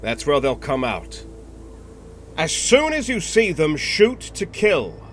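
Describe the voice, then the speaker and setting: A man playing a cold, contemptuous villain who commands a squad in an audio drama, deliberate and imperious. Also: a little high, wildly swooping pitch, faint music behind, heavily acted. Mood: menacing, commanding. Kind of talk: anime audio roleplay acting.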